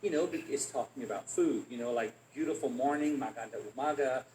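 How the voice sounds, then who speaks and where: Male guest speaker, relaxed anecdotal storyteller, mid-pitched voice, roomy echo with faint noise. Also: fast talker. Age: adult. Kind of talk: conversational Q&A. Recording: echoey room, faint noise.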